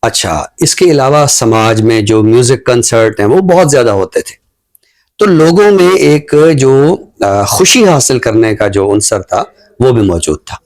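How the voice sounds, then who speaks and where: A middle-aged man with a Pakistani accent gives reflective social commentary, explaining deliberately and pausing mid-sentence. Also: mid-range voice, lively intonation, darkish tone. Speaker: literary talk show commentator.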